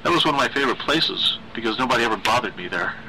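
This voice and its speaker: A man voicing a timid, unhinged teenage boy in a story, with a halting, high-pitched stutter. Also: lively intonation, bright, forceful tone, a little hiss or hum, low-quality recording. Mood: nervous, halting. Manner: halting, repeating words as he stammers.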